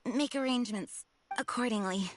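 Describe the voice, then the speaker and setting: A woman's voice: a little high, moderate pace, a little hiss or hum, close to an everyday voice. A woman playing a dutiful young knight commander in a video game, speaking formally and politely. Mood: composed, formal. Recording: game music under the voice.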